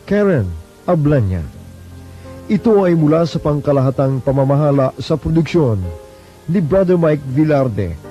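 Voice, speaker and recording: Slow Filipino male radio announcer over music. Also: a little high, warm tone. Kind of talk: scripted programme credits narration. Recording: dull radio playback. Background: prominent music.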